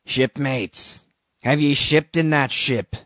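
A man's voice: medium pitch, slow pace, fairly bright tone, dry recording, clean studio sound, voice pushed up. A man voicing a shabby old sailor in an audiobook, an ominous, derisive stranger who talks insistently in archaic seafaring speech. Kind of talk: audiobook character reading.

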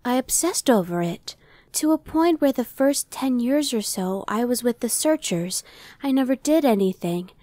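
A woman's voice: fairly high pitch, animated, moderate pace, warm tone, no room sound, lightly acted. A woman playing a casual, dry-witted female demon scout in an ASMR roleplay audio drama. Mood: somber. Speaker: voice actor.

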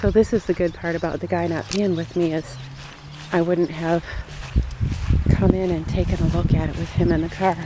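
A woman's voice: on the low side, steady tone, normal speed. A middle-aged woman vlogging casually off the cuff, chatty and musing aloud with wonder. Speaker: rideshare driver and vlogger. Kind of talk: casual off-the-cuff vlog narration. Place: moving car with windows down, later open barn. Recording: noisy handheld camera audio with car and wind.

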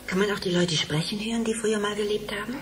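An actress playing a dreamy, grieving middle-aged wife in a German radio drama, hesitant, wistful and often hushed. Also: female voice, medium pitch, fairly even intonation, quick pace, lightly acted. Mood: curious. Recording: clearly noisy background.

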